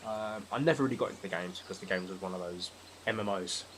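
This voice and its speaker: A man with a slightly low voice chats casually as a podcast guest. He talks at a brisk clip. There is audible noise behind him on the recording. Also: fairly bright tone. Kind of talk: casual film and game chat.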